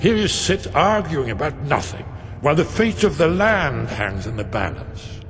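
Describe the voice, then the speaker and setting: An actor voicing a grave, impassioned warrior in a fantasy game, warning of doom in urgent oratory. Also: male voice, fairly high pitch, very animated, moderate pace, a bit bright, in a large hall, faint music behind. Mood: urgent, reproachful. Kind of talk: dramatic fantasy game dialogue.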